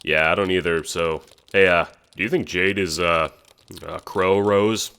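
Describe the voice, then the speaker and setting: A man's voice: deep voice, fairly even intonation, measured pace, noisy background. A man playing a sarcastic, foul-mouthed teenage boy in an audio drama, talking in casual, hesitant slang. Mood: deadpan disbelief.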